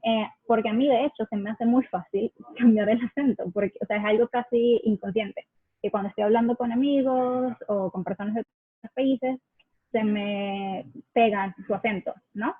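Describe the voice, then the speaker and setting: A woman from Venezuela with a Venezuelan accent, speaking reflectively as a guest, with many pauses and restarts. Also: fairly high pitch, steady tone, slightly rough, no room sound, narrow, tinny audio. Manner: reflective, many fillers and self-corrections. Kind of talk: conversational interview.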